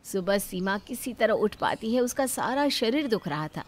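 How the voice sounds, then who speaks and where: A woman's voice: a little high, lively intonation, normal speed. A woman telling a scripted moral story as a dramatic narrator.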